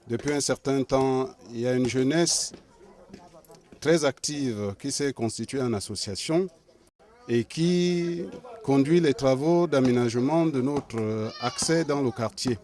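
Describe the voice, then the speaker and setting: A man with a Cameroonian French accent delivers a formal appeal to the camera from his neighborhood. He speaks very slowly and deliberately, his pitch sitting in a middle register. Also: a little mellow. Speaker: local resident appealing for help. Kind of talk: public statement to camera. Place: outdoors in a neighborhood.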